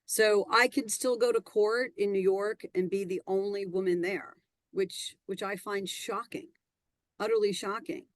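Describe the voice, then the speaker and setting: A woman's voice: mid-range voice, fairly even intonation, a little slow, dry recording. A woman speaking on a restructuring panel, emphatic, repeating words for stress. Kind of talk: panel discussion.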